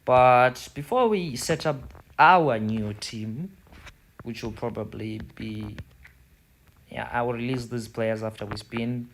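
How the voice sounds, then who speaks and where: A man's voice: mid-range voice, slow, low-quality recording. A man from Zimbabwe with a Zimbabwean accent gives a casual gaming tutorial, rambling a little and repeating words as he goes. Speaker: gaming video host. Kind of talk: casual tutorial commentary over football game screen.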